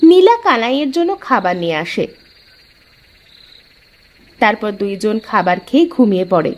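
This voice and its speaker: A woman's voice: medium pitch, lively intonation, normal speed. A woman narrating a scripted cartoon folk tale as a storyteller.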